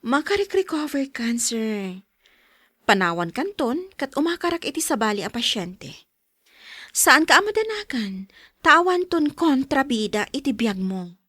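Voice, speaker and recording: A firm, pushy but caring Ilocano caregiver, played by a woman, with a high voice that swings widely and has a breathy rasp. The style is radio drama. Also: big pitch swings, measured pace, a bit bright, no room sound, studio quality, heavily acted. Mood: resigned.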